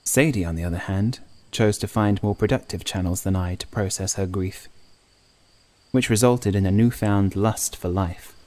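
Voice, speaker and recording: Low-voiced man narrating horror. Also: normal speed, darkish tone. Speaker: audiobook narrator and author. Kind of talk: scripted first-person horror story narration.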